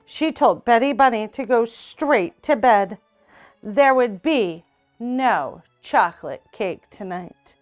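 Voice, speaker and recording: A young woman reads a picture book aloud in a small room, taking her time over each line with expressive, unhurried delivery. Her voice sits slightly high for a woman. Faint music plays softly behind her. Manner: slow, expressive read-aloud with character voices. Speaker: storytime reader.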